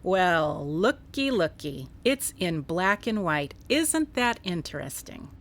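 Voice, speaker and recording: An animated, wry female investigator. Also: fairly low pitch, slow, over loud music. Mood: focused, wry. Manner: questioning, wry. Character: sharp, probing woman investigator, wry and persistent. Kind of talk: contemporary radio drama acting.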